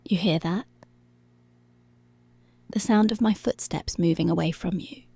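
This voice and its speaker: A woman playing a dominant, teasing, seductive policewoman in an intimate ASMR roleplay, with a breathy, whispery voice and slow, deliberate, commanding delivery. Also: fairly low pitch, mellow, no room sound, heavily acted. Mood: teasing, controlling. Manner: slow, deliberate, controlling, drawn-out phrases.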